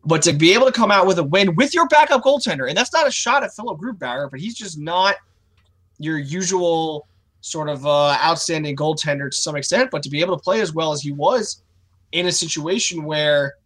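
A male co-host with a slightly raised pitch, talking in an opinionated, casual way. He grows animated when he rants. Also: brisk. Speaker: podcast co-host, youth coach. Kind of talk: casual sports podcast chat.

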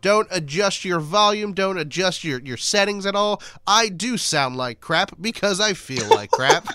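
A male podcast host with a bright, fairly high voice, nasal and stuffed up from a cold. He chats loosely and rambles about the games, and the recording is dry and close. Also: lively intonation, unhurried, clean tone, dry recording. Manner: chatty, rambling, self-deprecating. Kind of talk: casual sports podcast chat.

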